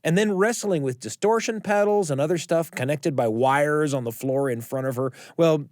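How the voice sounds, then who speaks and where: A man narrating a scripted story as a host, using dramatic pauses to build suspense. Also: medium pitch, a little mellow, dry recording, studio quality.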